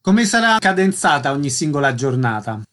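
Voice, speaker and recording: A male radio interviewer with a voice a little higher than average. He delivers prepared introductions and questions in the style of a host guiding an interview. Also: sing-song, moderate pace, fairly bright tone.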